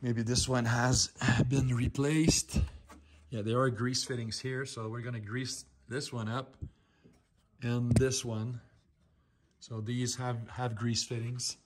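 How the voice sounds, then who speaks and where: A man's voice: medium pitch, little pitch movement, takes it slowly, a little hiss or hum. A man in his fifties hosting a casual how-to shop vlog, talking in a rambling way with many restarts and fillers, filmed on a handheld phone camera in a garage workshop. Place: indoor garage workshop with ATVs.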